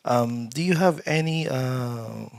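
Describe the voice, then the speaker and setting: A man casually hosting a live-streamed panel, reading questions and shout-outs in a hesitant, halting way. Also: mid-range voice, creaky.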